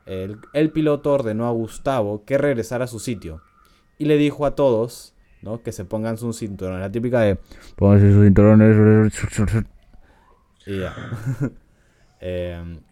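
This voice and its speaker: Low, mellow-voiced man telling a story. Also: a little slow, clean tone. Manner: chatty, laughs often, frequent "¿no?" tags. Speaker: podcast host. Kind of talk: casual storytelling podcast.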